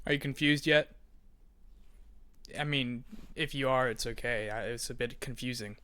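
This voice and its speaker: A man's voice: medium pitch, moderate pace, sharp tone, clean tone, no echo. A man hosting and explaining to listeners in a conversational, playful way with theatrical emphasis. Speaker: host, writer and creator of an audio drama.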